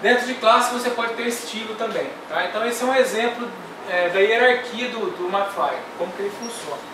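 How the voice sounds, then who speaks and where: A man's voice: sits high, a little fast. A middle-aged man teaching a technical workshop, explaining step by step and checking in with "tá?". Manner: explains step by step, ends phrases with "tá?". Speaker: workshop instructor. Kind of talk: technical classroom lecture. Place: classroom with projector screen. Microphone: far camera. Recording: echoey room from far off, noisy.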